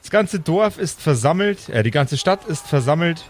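Animated man as game master. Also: fairly high pitch, moderate pace. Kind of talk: improvised tabletop game narration.

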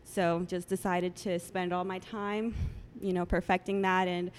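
A woman in her late twenties who grew up in El Salvador with Spanish as her first language, chatting as a guest with many soft ums. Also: fairly low pitch, flat delivery, a little slow, a little hiss or hum. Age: 20s. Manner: soft-spoken, many ums, laughs often. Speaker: guest photographer. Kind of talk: casual interview chat.

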